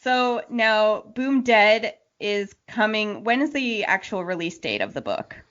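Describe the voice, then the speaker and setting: A woman's voice: mid-range voice, lively intonation, unhurried, a bit bright. A woman hosting an interview in a casual, informal, conversational way. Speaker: podcast host. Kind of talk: casual chat. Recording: dull lo-fi podcast audio.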